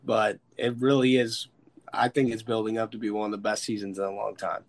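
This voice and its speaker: An American man hosting a casual, sweary sports chat, opinionated and emphatic, with a stuffed-up, slightly hoarse voice. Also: lowish voice, flat delivery, brisk. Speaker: sports podcast host. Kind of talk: casual, profane football chat.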